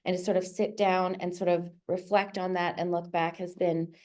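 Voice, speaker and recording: A woman hosting an online launch event, thanking a guest in a relaxed, filler-heavy, chuckling way. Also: on the low side, normal speed. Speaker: host.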